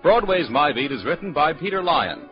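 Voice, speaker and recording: A man's voice: a little high, big pitch swings, moderate pace. A man announcing scripted credits as a network announcer in a formal 1940s radio style. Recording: muffled 1940s radio broadcast, faint music.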